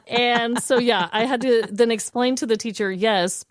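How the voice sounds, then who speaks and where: A woman with a slightly high voice, talking informally as a podcast host. She tells things candidly and conversationally, with comic timing, on a close mic with a dry, roomless sound. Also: moderate pace, no room sound. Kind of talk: casual comedic chat.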